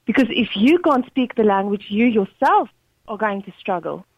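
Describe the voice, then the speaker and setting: A woman's voice: medium pitch, animated, moderate pace, dry recording. A South African woman with an Afrikaans accent calling a radio show, polite and agreeable with frequent hesitant fillers. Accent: Afrikaans-influenced South African. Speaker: caller. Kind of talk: phone-in call. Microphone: telephone. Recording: muffled phone line.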